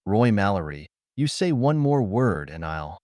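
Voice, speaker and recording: A man's voice: lowish voice, sing-song, a little slow, a little mellow, no room sound, clean studio sound. A man voicing a prim, easily shocked young woman in an audiobook, flustered and indignant.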